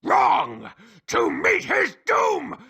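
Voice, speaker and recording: A man voicing an evil monster henchman in a video game, with a gravelly, theatrical, gloating menace. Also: very high-pitched, sing-song, exaggerated voice. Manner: theatrical, drawn-out villain delivery. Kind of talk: video game cartoon villain acting. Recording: echoing voice under loud music.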